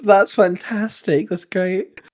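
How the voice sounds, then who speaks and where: A man's voice: high-pitched, fairly even intonation, takes it slowly, darkish tone. A man hosting a podcast in a casual, conversational style. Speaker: podcast host and audio drama writer. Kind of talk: casual chat.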